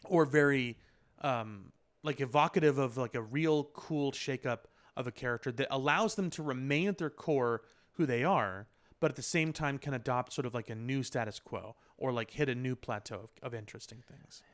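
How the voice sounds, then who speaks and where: Clear-voiced man chatting casually. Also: mid-range voice, normal speed. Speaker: podcast co-host. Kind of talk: casual pop culture discussion.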